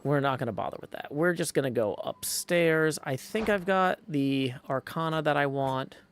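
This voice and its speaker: Chatty man streaming over clear background noise. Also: a little high, moderate pace. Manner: chatty, rambling, addresses viewers and reads game text aloud. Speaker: livestream host and gamer. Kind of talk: casual game stream commentary. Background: video game sound.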